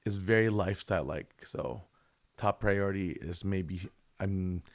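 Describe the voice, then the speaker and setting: A man's voice: sits low, measured pace, creaky. A man hosting a livestream, chatting casually and joking with a guest, his voice a bit tired from lost sleep. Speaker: streamer host.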